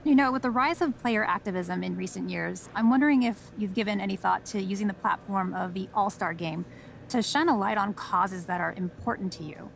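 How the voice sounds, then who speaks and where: An actress plays a female sports journalist in a video game, with a gravelly, fry-edged voice. She asks measured, polite but probing questions, with faint ambience behind her. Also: medium pitch, slight background noise, lightly acted. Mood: polite, curious. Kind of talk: press questioning.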